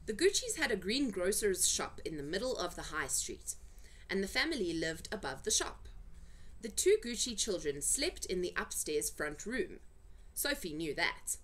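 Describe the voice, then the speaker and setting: A woman reading a children's story aloud, with dramatic, suspenseful delivery and emphasized words. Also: mid-range voice. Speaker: amateur storyteller reading for family. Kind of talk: expressive children's storybook narration.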